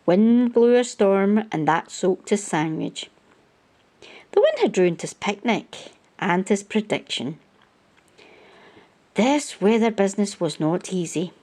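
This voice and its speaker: A woman reading a children's picture book aloud as a storytime host, with expressive storyteller phrasing. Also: mid-range voice, slow, pauses often.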